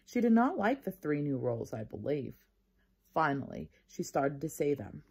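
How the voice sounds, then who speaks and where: A woman with a husky, slightly low voice reads a children's book aloud. She talks casually and encouragingly to her young listeners, like a teacher, and tells the story with expression. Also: sing-song, normal speed, a little mellow.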